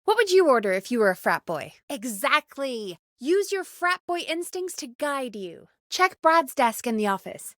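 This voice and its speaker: A woman's voice: high-pitched, moderate pace, few pauses, no room sound, clean studio sound. A woman voice actor playing an earnest, dedicated radio producer who gets exasperated easily, in natural video game dialogue. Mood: focused, urgent. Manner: earnest, expressive, exasperated at times.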